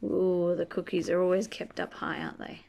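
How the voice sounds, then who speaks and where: A woman reading a rhyming children's book aloud expressively, drawing out key words and chatting gently to young listeners. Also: lowish voice, gravelly, slight background noise. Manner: animated, drawn-out key words, gentle questioning asides. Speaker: storybook reader reading to children.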